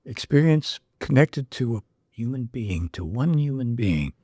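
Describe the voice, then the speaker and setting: A man's voice: mid-range voice, big pitch swings, unhurried, dark tone, husky, no echo. Man reading aloud and musing, dry close sound. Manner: reads prophecy text then adds his own commentary, asks rhetorical questions. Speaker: podcast host. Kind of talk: reading aloud and casual discussion.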